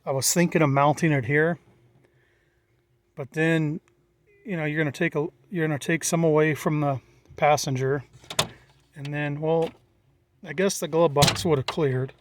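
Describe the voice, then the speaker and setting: A man's voice: fairly high pitch, fairly even intonation, normal speed, pauses often, slight background noise. A middle-aged American man giving a casual, unscripted walkthrough in a plain-spoken, matter-of-fact way. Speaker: hobbyist presenter.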